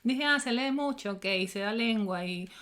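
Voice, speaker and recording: A woman's voice: a little high, moderate pace, a bit bright. A woman in her forties with a Cuban accent, a linguistics professor talking in an interview. She answers thoughtfully, with many fillers, and laughs easily. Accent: Cuban Spanish. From Cuba.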